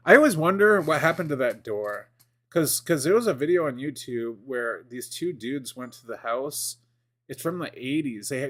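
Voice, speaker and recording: A man in his forties chatting casually as a streamer, rambling and excitable, with lots of fillers and restarts. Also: mid-range voice. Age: 40s. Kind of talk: casual gaming chat.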